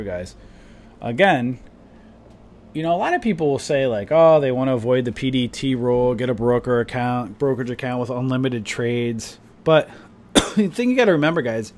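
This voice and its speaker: A man's voice: medium pitch, darkish tone. Chatty male trading streamer, voice a bit off. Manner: rambling, chatty, many fillers like "you know" and "right". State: voice a bit off, coughing and clearing throat. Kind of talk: casual market commentary to viewers.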